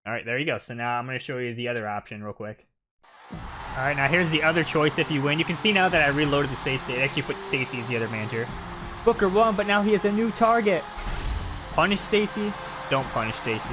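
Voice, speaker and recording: A man's voice: fairly high pitch, lively intonation, quick pace, music in the background. A man doing casual live commentary on video game footage, talking in an informal way.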